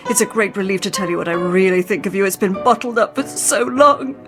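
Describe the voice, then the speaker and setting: An actress playing a repressed, long-suffering wife with formal diction in an emotional old-time radio drama style. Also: female voice, mid-range voice, a little fast, over music, lightly acted. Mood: relieved defiance.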